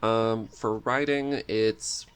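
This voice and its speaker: A man with a low voice talks as a guest in a relaxed interview. He thinks aloud, a little hesitant, in an easygoing conversational way. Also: extremely slow, smooth. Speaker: podcast guest, script writer and amateur voice actor. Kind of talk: casual interview chat.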